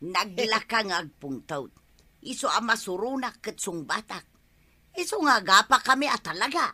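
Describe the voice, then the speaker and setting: An actress playing an irritable wife in an Ilocano-accented radio comedy drama. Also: female voice, mid-range voice, lively intonation, normal speed, pushed and bright. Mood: grumbling.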